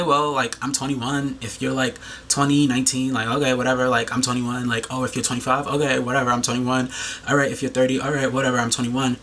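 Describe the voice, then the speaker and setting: A man's voice: medium pitch, bright, forceful tone, slight background noise. A man in his thirties talking earnestly to camera in a rambling way, with lots of "like" and restarts. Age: 30s. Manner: earnest, rambling, heavy use of "like". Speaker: video host. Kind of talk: reflective monologue to camera.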